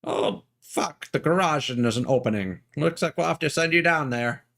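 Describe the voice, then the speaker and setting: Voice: medium pitch, moderate pace, vocal fry, a bit bright. A game streamer giving casual, playful commentary and joking along with a co-host. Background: game music.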